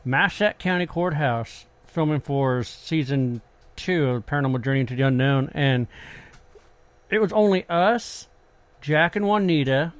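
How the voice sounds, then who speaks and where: A man in his late forties hosting a podcast, chatting and telling stories in a casual, conversational way. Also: a little high. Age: late 40s. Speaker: podcast host, paranormal investigator.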